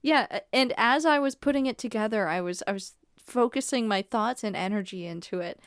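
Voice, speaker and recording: A soft-spoken woman with a higher pitch and a smooth, clean tone chats casually as a podcast co-host. She speaks quietly and giggles often, and her close studio mic sounds dry and free of room echo. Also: moderate pace. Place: home podcast setup. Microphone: studio mic on an arm.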